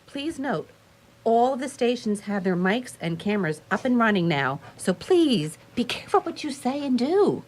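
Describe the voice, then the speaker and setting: An actress playing a polite, officious public relations liaison in a radio play, speaking a little haltingly. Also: female voice, on the high side, big pitch swings, darkish tone. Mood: brisk, officious. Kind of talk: radio theatre acting.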